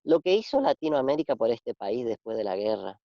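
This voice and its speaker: A man in his forties from Argentina, with an Argentine Spanish accent, talking reflectively in a casual interview, pausing and restarting often. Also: mid-range voice, big pitch swings, gravelly, no room sound. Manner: reflective, halting with many restarts and fillers. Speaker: interview guest. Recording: dull, dry lo-fi sound.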